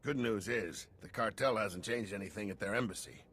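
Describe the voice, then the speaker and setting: An actor playing a gruff, irreverent veteran security chief, blunt and wry in an informal way. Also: male voice, on the low side, a little fast, a bit bright.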